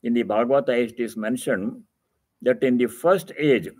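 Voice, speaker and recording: A man's voice: medium pitch, normal speed, darkish tone, no room sound. A man giving a religious discourse as a monk, reading scripture aloud and explaining it in a didactic, emphatic way, repeating key phrases for stress.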